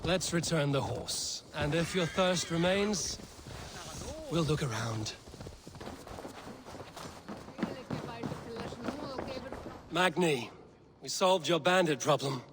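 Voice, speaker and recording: A man acts out a battle-hardened Viking warrior from a video game. The delivery is stern and dryly teasing, pitched a little high for a male voice, with clear noise behind it. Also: unhurried, lightly acted. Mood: calm, firm. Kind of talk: video game dialogue acting. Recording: game dialogue under clear outdoor background noise.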